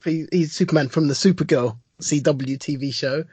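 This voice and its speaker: A man's voice: on the high side, moderate pace, mellow, breathy edge, dry recording. A man co-hosting a podcast in casual chat, enthusiastic and rambling, with some teasing. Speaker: podcast co-host.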